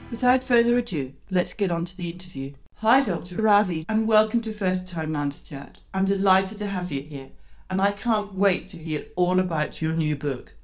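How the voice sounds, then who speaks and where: A woman hosting a parenting podcast, reading a supportive intro and welcoming her guest. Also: on the low side. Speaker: podcast host. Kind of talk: scripted intro and interview.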